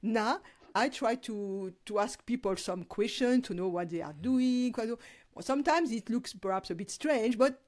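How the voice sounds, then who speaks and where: Measured woman interview guest. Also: fairly high pitch, animated, darkish tone. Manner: measured and reflective. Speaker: guest, co-author. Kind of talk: casual interview talk.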